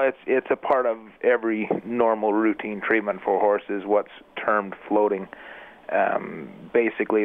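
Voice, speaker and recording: A man who works as an equine dentist, calmly explaining his work as a guest in a radio interview. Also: low-pitched. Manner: measured, methodical, explanatory. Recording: tinny phone line.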